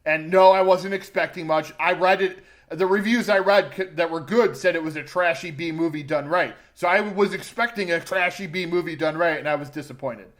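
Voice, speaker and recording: A man's voice: a little high, brisk, hardly any pauses. A man co-hosting a podcast, giving an informal, opinionated film review in a conversational way. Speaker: movie podcast co-host. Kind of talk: casual film review chat.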